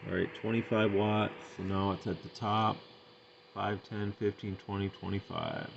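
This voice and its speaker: A man's voice: on the low side, animated, moderate pace, creaky. A man giving a casual, off-the-cuff equipment demonstration, matter-of-fact and thinking aloud as he works. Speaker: radio hobbyist demonstrating and testing equipment. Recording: dull lo-fi sound with faint noise.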